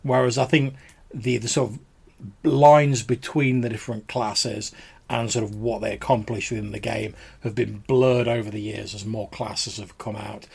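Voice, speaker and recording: Gravelly podcast host, rambling. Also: male voice, fairly low pitch, little pitch movement, normal speed, darkish tone. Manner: rambling, many fillers like "sort of". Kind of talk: casual chat answering voicemails.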